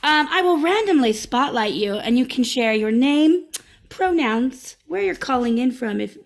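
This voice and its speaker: A woman's voice: high-pitched, expressive, a little slow. A woman hosting a live online improv comedy show over a video call, upbeat and chatty with many fillers and restarts.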